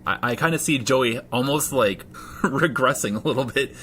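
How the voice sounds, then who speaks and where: A man narrating a tabletop roleplaying game as game master in an informal, halting, amused way. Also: medium pitch, pushed and bright, slight background noise.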